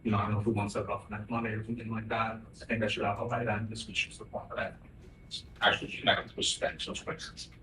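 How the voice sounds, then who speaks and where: A man's voice: fairly low pitch, completely flat delivery, quick pace. A man speaking as a prosecutor, giving tentative, informal replies in a courtroom, picked up from a distance. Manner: tentative, noncommittal. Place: courtroom, away from the mic. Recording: distant, echoey, noisy room pickup.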